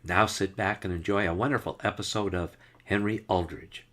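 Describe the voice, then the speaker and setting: A man's voice: sits low, fairly even intonation, moderate pace, a bit bright. A man hosting a radio show, giving a scripted introduction.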